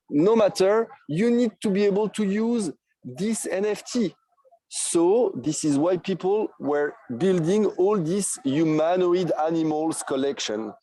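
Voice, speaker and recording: A man in his thirties with a strong French accent and French as his first language, a podcast guest telling his story eagerly with many halting fillers. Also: sits high, warm tone, rough recording. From France. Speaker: guest, NFT project creator. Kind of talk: podcast interview.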